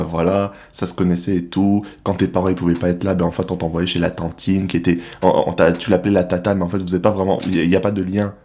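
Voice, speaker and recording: A young man from the Republic of the Congo giving a casual, animated, slangy monologue to the camera as a vlogger. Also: deep voice. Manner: animated, slangy, rhetorical questions.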